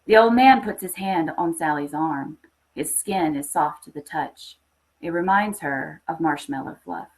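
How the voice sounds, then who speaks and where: Woman, low pitch, expressive story narration, literary horror reading. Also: a little slow, low-quality recording. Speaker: story reader.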